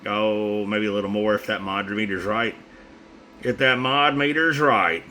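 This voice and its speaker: Male, low voice, off-the-cuff seller demo, hesitant and meandering, handheld phone recording with clear background noise. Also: fairly even intonation, a little slow, pushed and bright. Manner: rambling, hesitant, self-correcting. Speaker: seller demonstrating used radio gear. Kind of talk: casual unscripted product demo.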